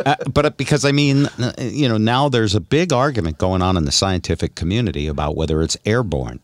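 Male podcast co-host, very low voice, wry conversational commentary, dry sound. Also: brisk, dry recording. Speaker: radio show podcast co-host. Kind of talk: casual talk and commentary.

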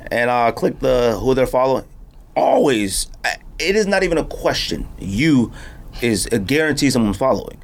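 A man's voice: medium pitch, big pitch swings, moderate pace. A man hosting a podcast, chatting casually in slangy, rambling stories with a guest. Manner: informal, slangy, rambling storytelling. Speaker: podcast host. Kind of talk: casual conversational interview. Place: podcast studio, in-person conversation.

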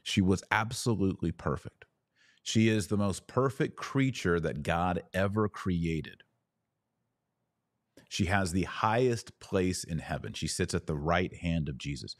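A man's voice: low voice, lively intonation, a little slow, no echo, clean studio sound. A man speaking as a podcast host, teaching about religion conversationally and answering questions in a didactic, emphatic way.

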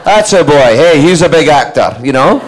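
A man voicing his elderly father, excitable and stubborn, loud and gruff. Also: a little high, moderate pace, voice pushed up, rough recording. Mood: thrilled. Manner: loud, gruff, repeats himself.

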